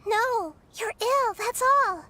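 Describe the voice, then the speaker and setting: An actress voicing a gentle, earnest young girl goddess in a video game, with a calm, pleading manner. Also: very high voice, slow, a little hiss or hum, full character voice.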